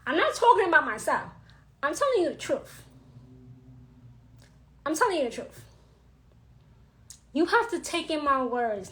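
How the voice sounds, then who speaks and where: A woman with a New York accent giving a blunt, emphatic livestream talk as a witchcraft teacher, with a slightly raspy voice and a habit of repeating her points. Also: high voice, fairly bright tone.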